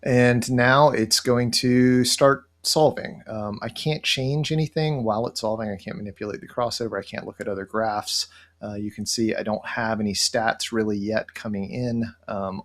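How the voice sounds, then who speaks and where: A middle-aged man presenting a casual software walkthrough, thinking aloud in a halting way. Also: on the low side, fairly even intonation. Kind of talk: casual screen-share walkthrough. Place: indoor room, webcam screen recording.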